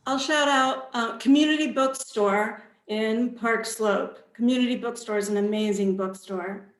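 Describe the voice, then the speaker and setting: A woman, an author on a panel, speaking in a hesitant, halting way. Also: fairly high pitch. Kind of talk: casual panel talk. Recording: roomy echo.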